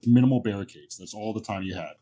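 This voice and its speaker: A man's voice: lowish voice, quick pace, dark tone, slightly rough. A man acting as game master, explaining the setup of a tabletop horror game to players.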